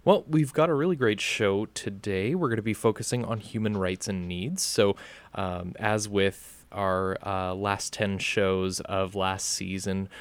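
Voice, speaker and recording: A man's voice: on the low side, clear, resonant tone, dry recording. A man co-hosting a radio show, upbeat and chatty, with lots of filler uhs. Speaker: radio co-host. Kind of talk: casual radio chat. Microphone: studio microphone.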